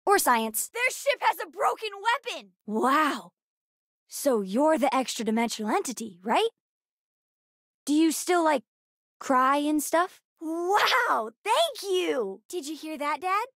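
A woman voicing a bright, bookish, sassy young girl in a video game, earnest, chatty and precocious. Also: high-pitched, expressive, slow, no room sound, clean studio sound, full character voice. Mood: playful, curious. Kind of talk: video game character dialogue.

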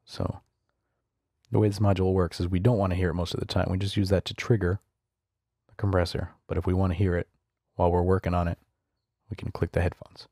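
Male screencast tutor, casual and explanatory, low-voiced with vocal fry. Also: level tone, quick pace, dark tone, dry recording, studio quality. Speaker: software tutorial instructor. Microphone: close studio voice-over microphone.

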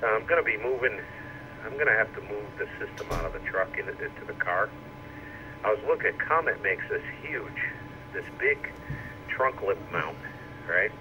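Deep-voiced man rambling over two-way radio. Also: lively intonation, normal speed. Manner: rambling, thinking aloud. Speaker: amateur radio operator. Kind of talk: casual radio conversation. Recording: radio speaker re-recorded with loud noise.

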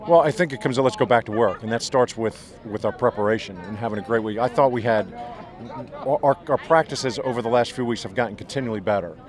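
A middle-aged man, a head football coach, giving a postgame interview outdoors on the field, talking fast and restarting his sentences often. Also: medium pitch, a faint crowd. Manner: fast, rambling, many restarts. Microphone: handheld mic held by interviewer.

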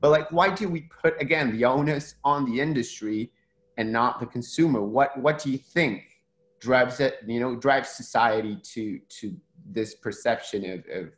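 A man's voice: medium pitch, normal speed. A man in his thirties from Argentina moderating a panel over a video call, talking casually and asking questions with many fillers. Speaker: webinar moderator.

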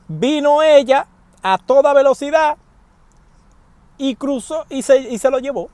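A man delivers casual news commentary from the driver's seat of a parked car, his voice pitched fairly high. He talks animatedly and appeals straight to his viewers. The sound is dry and close, picked up by a wired earphone mic. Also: unhurried, no echo. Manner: animated, addresses viewers directly, emphatic pleas.

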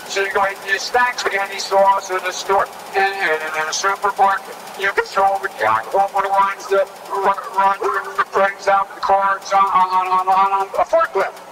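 Man doing a high, babbling, malfunctioning president. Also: fairly even intonation, moderate pace, few pauses, background noise, close to an everyday voice. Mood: frantic babbling. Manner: rambling, stuck repeating words. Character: malfunctioning babbling president spouting stuttering nonsense.

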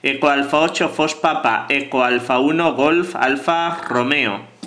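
A man works as a ham radio operator. His voice is bright and forward at a middle pitch, and he calls out phonetic call signs and contact details. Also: a little slow.

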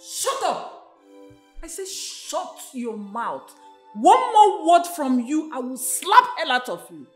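A woman's voice: high-pitched, big pitch swings, slow, heavily acted. A woman playing an indignant, domineering middle-aged village mother with a Nigerian accent in a film drama. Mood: outraged. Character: indignant, domineering village mother scolding her grown daughter. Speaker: actress. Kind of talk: emotional film drama acting. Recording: echoey room, music underneath.

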